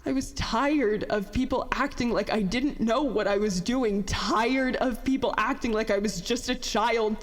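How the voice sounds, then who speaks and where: A woman playing a bitter, worn-out young inventor in a confessional audio drama monologue, halting, self-deprecating and emotional. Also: fairly high pitch. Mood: bitter, tired. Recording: long hall-like reverb on voice.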